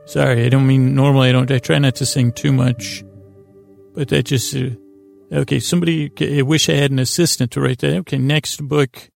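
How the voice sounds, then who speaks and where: A man hosting a bedtime podcast in a meandering, halting monologue, often interrupting himself and wandering off track while gently reassuring the listener. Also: medium pitch, flat delivery, vocal fry, soft background music. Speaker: podcast host.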